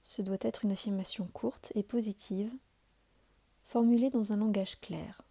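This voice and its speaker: A woman giving calm, soothing guided meditation instructions as a yoga nidra teacher, in a deliberate, measured delivery. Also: mid-range voice, creaky.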